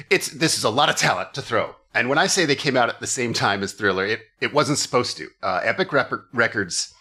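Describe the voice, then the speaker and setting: A man's voice: medium pitch, pushed and bright. A man hosting a comedy podcast, telling stories casually with wry, profane jokes.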